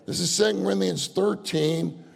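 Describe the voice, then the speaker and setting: Older male preacher, high gravelly husky voice, folksy storyteller, echoing hall. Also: moderate pace. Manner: folksy storytelling, laughs often, acts out voices. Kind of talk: sermon. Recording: long hall reverb.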